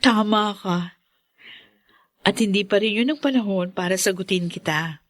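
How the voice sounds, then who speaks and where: A woman with a Filipino accent playing a warm, admiring young sweetheart in a radio drama. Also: medium pitch, normal speed, dry recording, natural voice. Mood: tender.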